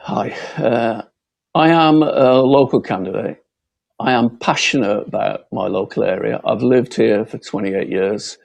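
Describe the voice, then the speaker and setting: A man from Bolton with a northern Lancashire accent, giving a halting, earnest closing speech as a local candidate, often repeating words. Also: medium pitch, creaky, dry recording. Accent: northern English, Lancashire. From Bolton, Lancashire, England.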